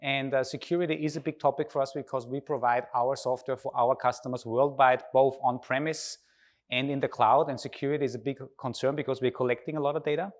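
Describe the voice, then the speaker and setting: A man's voice: medium pitch, fairly even intonation, fast. A man from Austria with an Austrian accent and native German, speaking informally as he gives a conference talk and engages his audience with questions.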